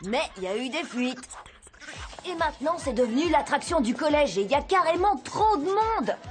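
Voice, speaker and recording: A woman's voice: high voice, sing-song, normal speed. A woman playing a confident, scheming, cheeky schoolboy hero in a cartoon dub, with a breezy, glib delivery. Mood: explaining. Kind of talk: animated cartoon dubbing in French.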